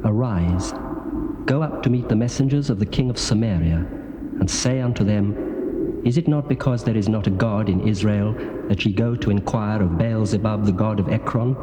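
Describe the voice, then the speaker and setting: A man's voice: normal speed, dark tone, slight background noise, natural voice. An actor playing a stern male angel who gives a solemn command in a dramatized scripture recording. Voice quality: low, solemn, echoing. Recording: echoey hall reverb on voice.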